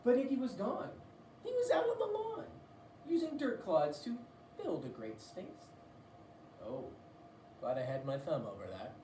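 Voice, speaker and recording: A woman's voice: mid-range voice, wildly swooping pitch, unhurried, long pauses, mellow. A woman reading a children's story aloud in a playful, theatrical way, with asides to the listener. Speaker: read-aloud storybook reader. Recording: roomy, distant mic, faint noise.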